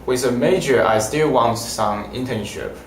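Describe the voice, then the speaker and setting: A young man whose first language is Mandarin, answering interview questions in halting, simple phrasing. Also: mid-range voice, slight background noise. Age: young adult. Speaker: language student. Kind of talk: casual interview. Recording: roomy echo.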